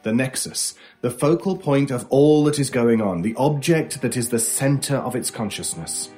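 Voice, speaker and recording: A man acting a mysterious, intense stranger in an old-time radio horror drama, speaking deliberately with theatrical pauses. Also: mid-range voice, expressive, darkish tone, close to an everyday voice. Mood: grave, explanatory. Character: intense mysterious male stranger, brooding paranormal expert. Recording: music under the voice. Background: music.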